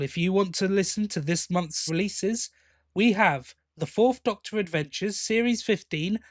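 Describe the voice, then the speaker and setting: A man's voice: sits high, no echo, clean studio sound. A man co-hosting a fan podcast, giving opinions in a casual, conversational review style. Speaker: podcast host.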